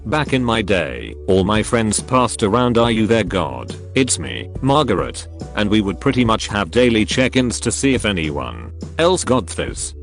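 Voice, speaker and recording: A man narrating a compilation of reader stories in a dry, flat-toned reading. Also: lowish voice, measured pace, faint music behind. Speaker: narrator reading online posts.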